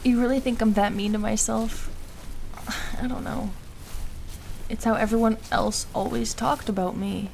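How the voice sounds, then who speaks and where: A woman's voice: a little high, steady tone, vocal fry, a little mellow, a little hiss or hum. Woman acting anxious, self-doubting young woman. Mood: torn, self-loathing. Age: young adult. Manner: hesitant, emotional, halting. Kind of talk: audio roleplay acting.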